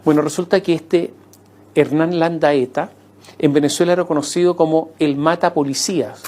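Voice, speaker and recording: A man answering in an interview, conversational and animated, acting out quoted voices as he recounts events. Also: a little high, normal speed.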